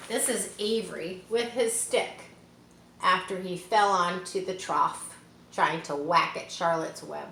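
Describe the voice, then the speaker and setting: A woman reads a children's book aloud, expressive and informal. Her voice is bright and clear-cut at a middling pitch. The room echoes a little and there is faint noise behind her. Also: unhurried. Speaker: storybook reader. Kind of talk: children's read-aloud with casual asides. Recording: roomy echo, faint background noise.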